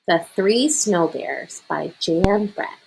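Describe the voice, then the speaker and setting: A woman reading a children's picture book aloud as a storyteller, expressive and deliberate. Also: on the low side, gravelly, a little hiss or hum, rough recording.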